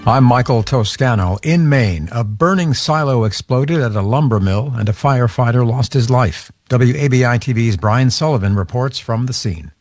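A man anchoring an hourly radio newscast, reading in a crisp, measured way. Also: mid-range voice, lively intonation, darkish tone, soft background music. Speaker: news anchor.

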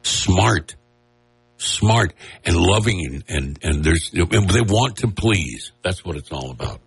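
Nasal, animated male radio host. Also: deep voice, slow pace, vocal fry, husky. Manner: animated, joking, rambling. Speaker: radio talk show host. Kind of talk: casual on-air chat. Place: radio studio. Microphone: studio mic with headphones.

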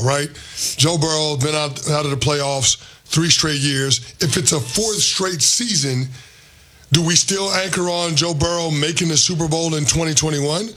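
A slightly hoarse man with a bright, forceful tone and a fairly high pitch for a man. He talks through questions and comparisons on a sports talk show, with faint music under him. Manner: poses questions and lays out comparisons. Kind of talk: casual debate chat.

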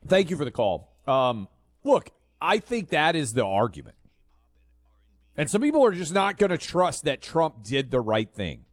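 A man's voice: fairly high pitch, dry recording, studio quality. A man hosting a talk radio show, speaking in a conversational, opinionated way. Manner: conversational, opinionated, fillers and restarts. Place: radio studio. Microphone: studio mic.

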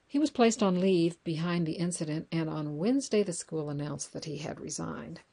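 A woman's voice: sits low, moderate pace, creaky, dark tone, breathy edge. A woman reading news articles aloud, stopping now and then to correct herself with dry asides. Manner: wry asides. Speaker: news reader.

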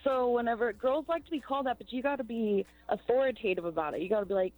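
A woman's voice: on the high side, quick pace, a little mellow, low-quality recording. A woman on a radio show giving a blunt, matter-of-fact opinion. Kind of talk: casual radio show banter.

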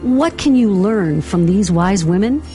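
A middle-aged woman, hoarse from laryngitis, hosting an interview podcast. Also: mid-range voice, animated, unhurried, mellow, soft background music. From Boston area. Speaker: podcast host.

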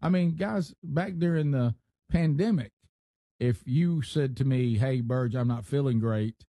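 A man's voice: mid-range voice, unhurried, dark tone, dry recording, clean studio sound. A man hosting a talk radio show, reading out a news story and adding his own asides in casual banter. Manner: reads news aloud with asides.

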